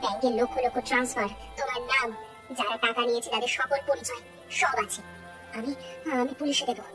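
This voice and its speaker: Woman as upright wife, high voice, fast, firm, accusing, cartoon drama. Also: fairly even intonation, far from the mic, natural voice. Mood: accusing, resolute. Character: righteous, resolute wife confronting a crooked man. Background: music.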